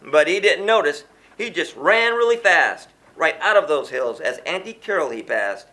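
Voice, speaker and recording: A man's voice: fairly high pitch, expressive, normal speed, bright, forceful tone. A man reading a rhyming children's storybook aloud in a theatrical, sing-song rhythm.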